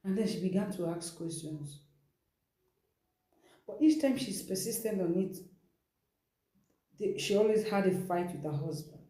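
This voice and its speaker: A woman's voice: fairly low pitch, expressive, a little slow, long pauses, dark tone. A woman hosts an informal storytelling session, retelling someone's account in a conversational way with rhetorical asides. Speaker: storytelling host. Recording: roomy, echoing sound.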